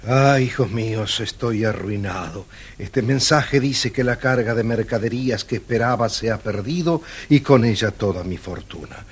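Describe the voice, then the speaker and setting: An actor playing a kindly merchant father who speaks gently to his children. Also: on the low side, normal speed, few pauses, slightly rough. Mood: dismayed.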